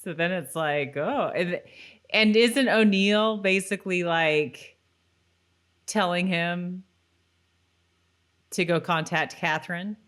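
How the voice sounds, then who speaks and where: A woman's voice: on the low side, takes it slowly, pauses often, a bit bright. A woman co-hosting a podcast in an informal, chatty style, reacting readily to her partner. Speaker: podcast co-host. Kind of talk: casual rewatch chat with some read-out recap.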